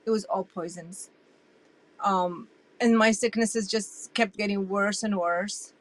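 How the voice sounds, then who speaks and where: A woman in her sixties, originally from Iraq, telling her story as an interview guest in an animated, emphatic way. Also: medium pitch, takes it slowly, slight background noise, poor audio. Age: 60s. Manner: animated and emphatic, with frequent restarts.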